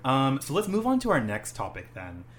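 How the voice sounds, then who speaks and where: A man's voice: mid-range voice, huge pitch swings, normal speed, a little hiss or hum. A young man hosting a casual chat, rambling with many "um"s and "you know"s. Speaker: host, English teacher.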